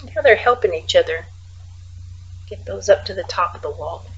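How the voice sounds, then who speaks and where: A woman reading a children's storybook aloud like a teacher, expressively, with casual, hushed asides. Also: on the low side, normal speed, husky, lo-fi sound.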